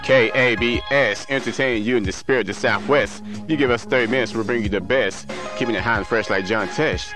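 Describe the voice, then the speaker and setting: A man's voice: medium pitch, a little fast, few pauses, over music. A man working as a radio newsreader and DJ, reading in a local broadcast style with occasional slips and self-corrections. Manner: stumbles and corrects himself while reading. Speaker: radio newsreader and music show DJ. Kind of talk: local radio news, sports and weather reading. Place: radio studio.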